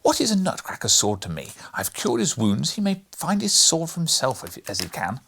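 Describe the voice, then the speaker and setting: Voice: deep voice, slow pace, vocal fry, a bit bright, voice pushed down, heavily acted. A performer voicing an eccentric old godfather from a storybook, with a grating, theatrical and emphatic delivery. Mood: angry, testy. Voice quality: grating when chanting. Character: eccentric old godfather counsellor, grating, monotonous, droll, testy.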